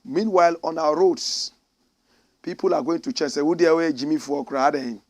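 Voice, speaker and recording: A man from Ghana giving impassioned political commentary, preachy and emphatic, swinging from loud outbursts to low asides. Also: normal speed.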